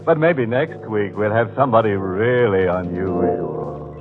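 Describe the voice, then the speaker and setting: A man's voice: lowish voice, natural voice. An actor playing a ghoulish, mock-friendly horror host in 1940s radio style, drawing out his words with theatrical, macabre relish. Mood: gleefully macabre. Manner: theatrical, drawn-out, macabre deadpan humor. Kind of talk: 1940s radio horror hosting and scripted narration. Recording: tinny old-time radio recording with music.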